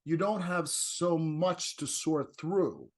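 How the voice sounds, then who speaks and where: Guy hosting a design chat over video call, kinda high voice, keeps restarting sentences. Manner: chatty, halting, with frequent restarts and laughs. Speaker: host and interior designer. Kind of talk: casual video-call interview.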